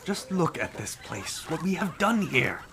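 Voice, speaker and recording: An actor playing a haughty, exuberant, self-deluded prince in a theatrical, declamatory audio drama style. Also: male voice, fairly high pitch, sing-song, unhurried, noisy background. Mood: boastful delight. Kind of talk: full-cast audio drama acting.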